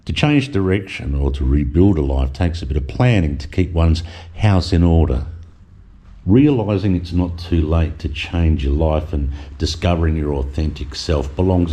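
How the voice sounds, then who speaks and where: A man's voice: extremely low pitch, moderate pace, mellow, husky. A middle-aged man reading a reflective voice-over with wry asides. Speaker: vlogger narrator.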